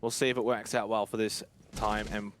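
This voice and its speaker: A man's voice: fairly low pitch, level tone, a little fast. A man calling an esports match as a live play-by-play commentator. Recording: clear background noise with clicks.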